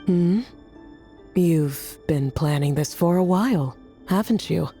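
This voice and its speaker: An actress voicing a sardonic, shrewd woman in a video game, with a husky, creaky voice and a dry, teasing manner. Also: on the low side, takes it slowly, dark tone. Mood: suspicious. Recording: game music faintly behind.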